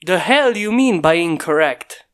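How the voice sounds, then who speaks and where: A terse inventor barks dry orders at his mechanical guards and mutters wry little asides under his breath, as a reader puts him on. He sounds brooding and self-possessed, quick to command and slow to warm. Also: medium pitch, animated, reverberant. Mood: tense.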